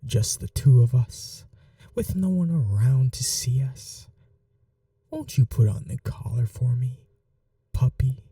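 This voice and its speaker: A woman voicing a doting but cruel vampire mistress in an intimate ASMR roleplay, teasing and coaxing in a breathy voice that often drops to a whisper. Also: a bass voice, animated, slow, warm tone, no echo, clean studio sound, far from the mic, full character voice. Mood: coaxing, playful.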